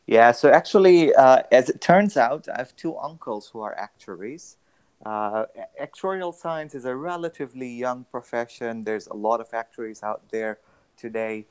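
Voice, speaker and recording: A young man from Tanzania, a guest on a radio interview, explaining his work in a measured way with many fillers. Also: medium pitch, a little mellow, clear, resonant tone. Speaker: guest, actuary.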